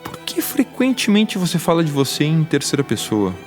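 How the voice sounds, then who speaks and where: A man's voice: fairly high pitch, big pitch swings, normal speed, music in the background. A man playing an anxious, philosophical fugitive adventurer in an audio drama, with a hesitant, wry way of talking. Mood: defensive, wry. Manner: hesitant, repeats himself, wry.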